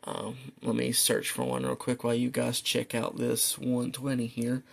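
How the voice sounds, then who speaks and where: Male vlogger, creaky mid-pitched voice, informal and halting, talking through features one step at a time. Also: completely flat delivery. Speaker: vlogger reviewing a weather radio. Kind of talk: casual product demonstration, unscripted. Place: indoors at a desk.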